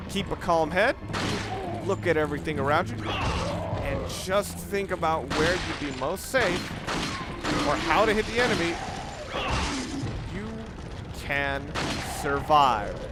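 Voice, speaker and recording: A man giving off-the-cuff, rambling walkthrough commentary on a video game, instructive and often correcting himself. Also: fairly high pitch. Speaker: gamer giving a let's play commentary. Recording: noisy, game gunfire behind. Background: video game ambience and gunfire.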